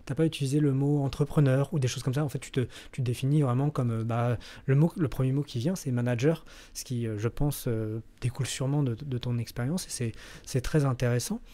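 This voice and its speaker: A man's voice: mid-range voice, fairly even intonation, brisk, dark tone. A man hosting a podcast interview, asking questions casually, with many fillers and restarts. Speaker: podcast host.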